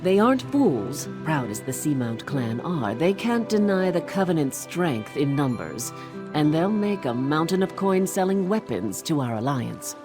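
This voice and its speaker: Wry female sea captain, low voice, animated intonation, brisk and confident, fantasy game. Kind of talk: fantasy video game acting. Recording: loud music behind the voice.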